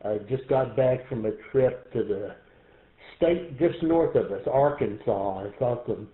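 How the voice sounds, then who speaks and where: A man's voice: medium pitch, expressive, measured pace. A man from Texas with a Texan accent, chatting casually on an amateur radio net from his home shack. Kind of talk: casual on-air chat over radio net. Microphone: radio station microphone in home shack. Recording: roomy, phone-like radio audio.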